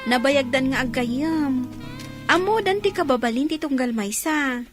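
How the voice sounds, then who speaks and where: A woman's voice: high-pitched, expressive, measured pace. A woman acting a playful, inquisitive younger sibling in an Ilocano radio drama, with an Ilocano accent. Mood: teasing, curious. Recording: prominent music behind voice.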